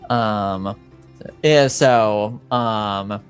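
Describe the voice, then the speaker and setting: A man improvising narration as a game master, hesitant and often pausing to find his words. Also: on the low side, faint music behind.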